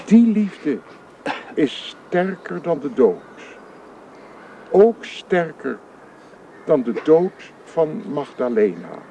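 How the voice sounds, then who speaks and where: Slow, solemn funeral minister. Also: male voice, fairly high pitch, big pitch swings, gravelly, warm tone, heavily acted. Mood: solemn preaching. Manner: measured, oratorical, grave. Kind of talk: radio play acting. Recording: radio play recording with faint noise.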